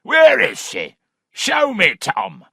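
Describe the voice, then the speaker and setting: A man reading an audiobook, voicing a blustering, hot-tempered old country squire who speaks in loud, abrupt exclamations. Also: mid-range voice, very animated, a little slow, no room sound, studio quality, full character voice. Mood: jovial. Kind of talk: audiobook character voice.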